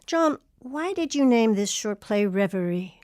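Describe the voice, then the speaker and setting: A woman hosting an arts interview, asking thoughtful questions in a measured, articulate way with pauses between phrases. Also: on the high side, expressive, darkish tone, no room sound, studio quality. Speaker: interviewer, host.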